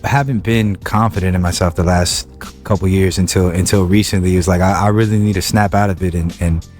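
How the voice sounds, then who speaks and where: Low-voiced young man, halting and repetitive. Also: steady tone, darkish tone, soft background music. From Wilmington, California. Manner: halting, many repeated words and restarts. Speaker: guest rapper. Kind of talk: casual interview chat.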